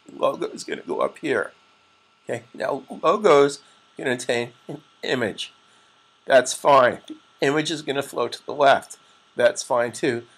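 A middle-aged man with a raspy, strained voice, giving a patient step-by-step software tutorial as an instructor. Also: medium pitch. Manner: plain, patient step-by-step explaining with frequent "okay?". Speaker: web design instructor. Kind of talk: screen-recorded software tutorial.

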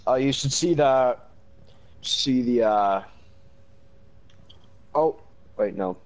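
A man's voice: medium pitch, takes it slowly, rough recording. A man from Maine with a Maine accent, chatting casually over a game in an easygoing, rambling way. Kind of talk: casual gaming chat.

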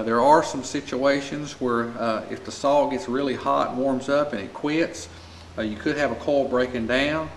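A man's voice: mid-range voice, a bit bright. An American man giving a plain, practical, informal step-by-step repair tutorial as he works in a shop. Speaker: small engine repair instructor. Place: indoor repair shop at a workbench. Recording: echoey room with clear background noise.

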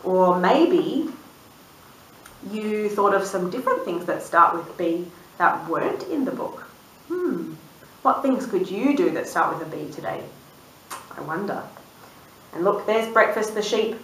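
A woman in her thirties reading a picture book aloud to young children, expressive and sing-song, drawing out words and putting questions to the listener. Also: fairly low pitch, a little slow, long pauses, slight background noise. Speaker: children's storyteller and channel host. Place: indoors in a room, seated before a dark backdrop. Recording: roomy, distant microphone.

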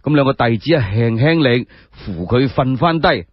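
A man narrates a dramatic tale with a Cantonese accent. His husky voice runs a little high and swings widely in pitch, in a dull recording. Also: lively intonation, moderate pace. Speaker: storyteller. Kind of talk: dramatic solo storytelling narration. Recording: dull, echo-free muffled recording.

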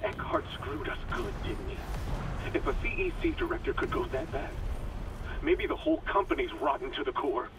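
A man's voice: mid-range voice, wildly swooping pitch, normal speed, creaky. A man playing a commanding, urgent second officer in a dramatic video game audio log. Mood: bitter, pleading. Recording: noisy, distant audio log.

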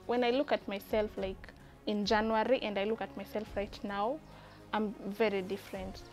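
A young woman from Sudan, a coding student giving an earnest, steady interview testimonial outdoors on a lapel mic. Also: medium pitch, moderate pace, soft background music. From South Sudan. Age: young adult. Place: outdoor terrace.

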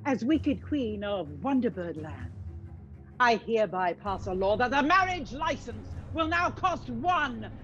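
A vain, gloating pantomime wicked queen, played by a woman, grand and drawling. Her high voice swoops widely and takes its time, over music on a dull video-call recording. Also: lively intonation, unhurried, fairly bright tone. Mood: gloating menace.

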